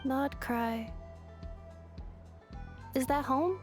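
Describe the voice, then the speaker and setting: High-voiced, stilted female robot. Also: extremely slow, soft background music. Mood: puzzled, searching. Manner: stilted, clipped, formal phrasing. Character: female robot with an earnest, questioning, literal temper. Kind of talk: scripted video game acting.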